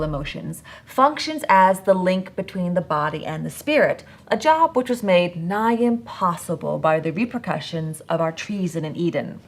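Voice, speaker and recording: A woman hosting a podcast, delivering a scripted dramatic monologue in a theatrical, sardonic, emphatic manner. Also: on the low side. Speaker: podcast host. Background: music bed.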